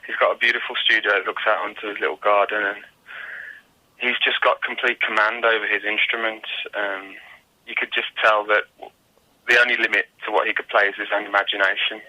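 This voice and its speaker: Low, very bright-voiced British man. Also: level tone, a little fast, low-quality recording. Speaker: guest singer-songwriter. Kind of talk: casual interview talk.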